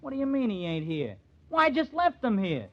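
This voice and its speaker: High voiced eager fanboy type, slangy n blustering, jumps all over, old radio crime show. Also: male voice, huge pitch swings, fairly quick, heavily acted. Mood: flustered, defensive. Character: eager, cocky male hanger-on, baseball team's number one fan. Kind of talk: old-time radio crime drama acting. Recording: dull, band-limited old radio recording.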